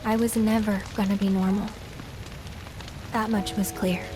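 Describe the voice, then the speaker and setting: An actress playing a gentle, earnest young woman in a video game, speaking softly and sincerely. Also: mid-range voice, little pitch movement, background noise, lightly acted. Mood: sorrowful. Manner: soft, halting, sincere. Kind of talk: cinematic video game voice acting.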